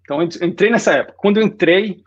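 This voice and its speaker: Um homem na casa dos quarenta com sotaque baiano misturado com carioca, contando sua trajetória numa conversa descontraída, com muitos "né?". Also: male voice, high voice, racing, highs cut off. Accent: Bahian Portuguese mixed with Rio. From Salvador, Bahia, Brazil. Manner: relaxed storytelling, frequent "né?". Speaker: guest designer. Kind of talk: casual interview chat.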